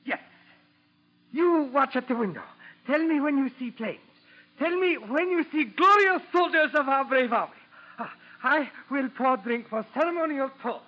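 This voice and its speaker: A fanatical, self-important Japanese spy from a wartime radio play. The voice is startlingly high, with a heavy Japanese accent and clipped broken English. The recording is dull and lo-fi. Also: male voice, animated, moderate pace. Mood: gloating, fanatical. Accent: exaggerated Japanese accent. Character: boastful, fanatical little Japanese spy posing as eager informant. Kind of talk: 1940s radio acting.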